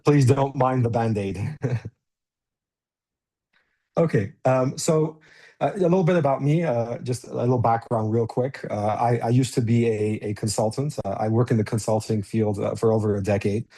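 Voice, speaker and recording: A man with an American accent giving a quick, straightforward online business presentation as a guest speaker. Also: medium pitch, dark tone. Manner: fast, straightforward, chatty delivery.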